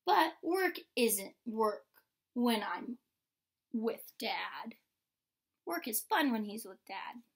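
A young adult woman reading a picture book aloud like a teacher, in a measured, instructional way. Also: a little high, sing-song, creaky, slightly rough. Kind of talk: picture-book read-aloud with short explanations. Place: indoor room with painted mural wall.

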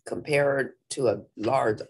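A woman reading a children's picture book aloud in a theatrical, deliberate way with playful emphasis. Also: a bass voice, breathy edge. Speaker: storybook reader.

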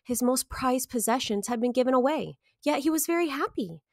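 A woman in her thirties reading to children as a story time host, with expressive, clearly phrased delivery. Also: high voice, fairly quick, no echo, clean studio sound. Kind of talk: children's picture book read-aloud.

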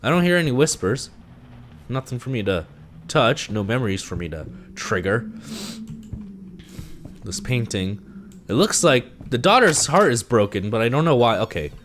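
A man's voice: medium pitch, big pitch swings, normal speed, pauses often, a bit bright, a little hiss or hum. A young man with a congested voice, nervously talking himself through a horror game into a headset mic in a small room at home. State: congested from allergies. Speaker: gaming video creator.

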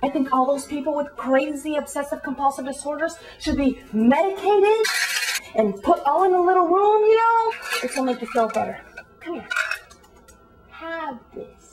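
A woman's voice: high voice, lively intonation, moderate pace, over music, rough recording. A woman performing a comic monologue as a judgmental, scornful woman, indignant and condescending, with dramatic rhetorical questions. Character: judgmental, scornful woman, switching between English and Spanish.

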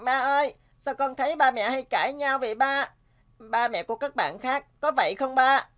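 A woman's voice: sits high, moderate pace, a bit bright. A woman voicing an innocent, eager little boy with childlike, plain speech in a cartoon. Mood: puzzled, sad. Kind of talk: cartoon dubbing.

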